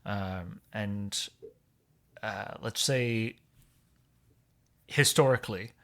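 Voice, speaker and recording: A man from Hong Kong, a podcast guest in casual conversation, explaining things in a measured way. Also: lowish voice, a bit bright.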